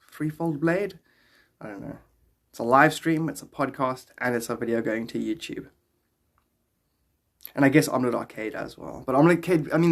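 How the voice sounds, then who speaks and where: A South African man in his thirties talks to his live stream viewers in a very animated, medium-pitched voice. He is casual and slangy, with playful greetings that swoop up and down. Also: creaky. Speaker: live streamer and podcast host. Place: small indoor room, close to the camera.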